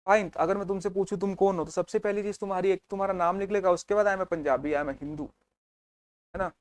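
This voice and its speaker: A man lectures his students with a fairly high voice, recorded close and dry with no room sound. He tells the history as a story and keeps asking whether they follow. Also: fairly even intonation, fast, no echo. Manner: storytelling, mixes Hindi and English, checks in with students. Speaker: teacher. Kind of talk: exam revision lecture.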